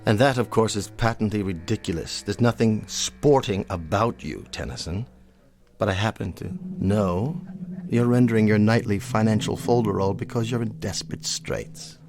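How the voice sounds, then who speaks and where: A man acts out a wealthy old colonel with a low voice, full of haughty disdain. The delivery is formal and exact, as in a radio drama. Music plays softly behind the words. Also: moderate pace, lightly acted. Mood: scornful.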